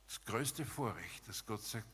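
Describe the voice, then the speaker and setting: A man preaching a sermon in an emphatic, rhetorical manner, setting out short phrases with pauses between them. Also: on the low side, lively intonation, a bit bright, slightly rough. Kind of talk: sermon with dramatized paraphrase, closing in prayer. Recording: long hall reverb.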